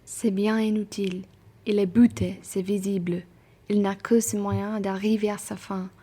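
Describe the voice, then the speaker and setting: A husky, mid-pitched female voice from an actress as a well-bred traveller in a radio play. The delivery is polite and reasonable, with formal, measured phrasing. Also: little pitch movement, warm tone.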